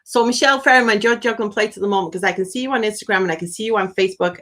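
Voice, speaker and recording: Middle-aged woman, a medium hosting a live stream; chatty and upbeat, average pitch with playful jumps. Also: very fast, fairly bright tone. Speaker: spiritual medium hosting a livestream. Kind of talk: casual live-stream chat.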